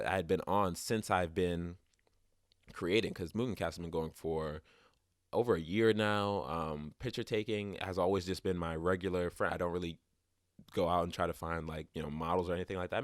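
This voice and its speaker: A man's voice: low voice, normal speed, no room sound, clean studio sound. A young man hosting his own podcast, talking casually and rambling with restarts, a little stuffed up and sniffly. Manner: rambling, many restarts and fillers. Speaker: podcast host. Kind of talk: casual solo podcast talk. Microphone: close podcast mic.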